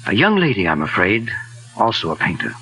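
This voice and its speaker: An old gentleman sleuth in a 1940s radio mystery. His husky voice moves up and down expressively, with a courtly, avuncular manner and a bright edge from the old recording. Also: low-pitched, wildly swooping pitch, brisk, full character voice, poor audio. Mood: grave, explaining. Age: older.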